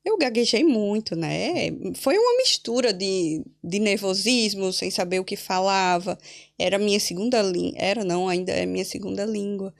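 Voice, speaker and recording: A young Brazilian woman guest with a Brazilian Portuguese accent, telling her story in a casual podcast interview with animated, laughing asides. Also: medium pitch, normal speed, vocal fry. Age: young adult.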